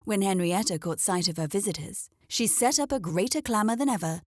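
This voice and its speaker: A woman reading a scripted children's story aloud as an expressive narrator. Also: mid-range voice, a little fast, dry recording, clean studio sound.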